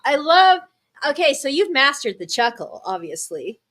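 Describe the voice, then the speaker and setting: A woman hosting a casual interview, excitable and chatty, with many fillers and some swearing. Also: sits high, normal speed. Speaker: interview host.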